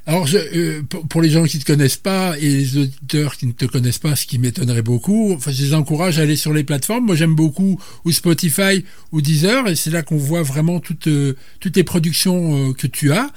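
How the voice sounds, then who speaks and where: A man with a fairly high voice hosts a radio show and interviews a guest. He sounds hesitant and stumbles over repeated words. The sound is dry and close. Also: brisk, hardly any pauses, darkish tone, no room sound.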